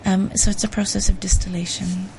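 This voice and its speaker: A woman's voice: mid-range voice, little pitch movement, fairly quick, creaky, darkish tone, a little hiss or hum, rough recording. A Canadian-born woman author being interviewed on radio, thinking aloud with frequent ums and restarts. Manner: thoughtful, hesitant with many restarts and ums. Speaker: author guest.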